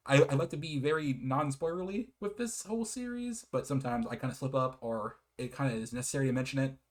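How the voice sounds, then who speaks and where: A man's voice: mid-range voice, fast. Casual, rambling American man reviewing games. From United States. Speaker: video game reviewer. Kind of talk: casual rambling review, partly reading game blurbs.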